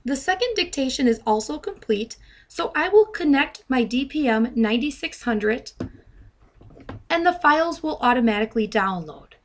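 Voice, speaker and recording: A woman software trainer narrating a step-by-step demo in a measured, instructional way. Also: sits high, lively intonation.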